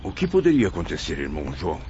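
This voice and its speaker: An actor playing a wary, judgmental newcomer spirit who asks anxious questions, with a Brazilian accent, in a radio drama. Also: male voice, low voice, wildly swooping pitch, quick pace, dark tone. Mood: uneasy. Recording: muffled audio, faint background noise.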